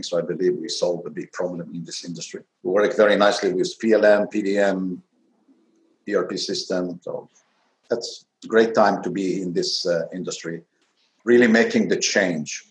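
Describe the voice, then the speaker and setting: A man's voice: low voice, steady tone, moderate pace. A man founder on a video call panel, speaking non-native English with frequent grammar slips. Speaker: company founder, panel guest. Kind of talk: unscripted panel talk. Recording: roomy echo.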